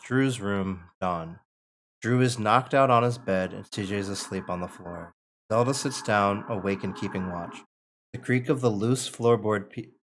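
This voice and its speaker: A man reading screenplay stage directions as narrator of a script table read. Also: fairly low pitch.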